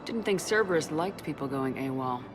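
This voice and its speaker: An actress playing a steady, supportive military commander in a video game, speaking in a direct, plain-spoken way. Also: female voice, low-pitched, normal speed, music in the background. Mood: firm, concerned. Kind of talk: video game cutscene dialogue.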